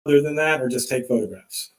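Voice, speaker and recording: A man's voice: medium pitch, quick pace. A man working as a defense attorney, asking probing, leading questions in a courtroom. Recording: echoey courtroom playback on live stream.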